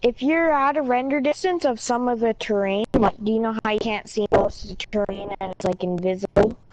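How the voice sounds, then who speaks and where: A woman's voice: a little high, brisk, heavy background noise. A woman chatting casually over an online voice chat party, rambling with lots of "like" and "yeah". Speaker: friend in voice chat. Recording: dull lo-fi voice chat audio.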